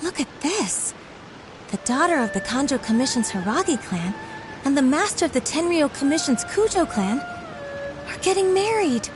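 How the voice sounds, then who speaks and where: A little girl sidekick from a video game, squeaky and curious. The pitch is very high and swings around a lot, with music behind it. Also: sing-song, moderate pace. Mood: surprised, curious. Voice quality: squeaky, childlike. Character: tiny, childlike, chatty girl sidekick, curious and candid.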